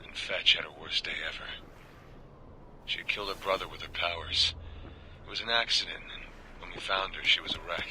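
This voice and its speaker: An actor playing a world-weary, remorseful soldier in a game audio log, speaking in a breathy half-whisper with a blunt, brooding, confessional manner. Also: male voice, low-pitched, steady tone, fairly quick, pushed and bright, a little hiss or hum, low-quality recording. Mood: grim, regretful. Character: world-weary, remorseful male soldier recounting past captures.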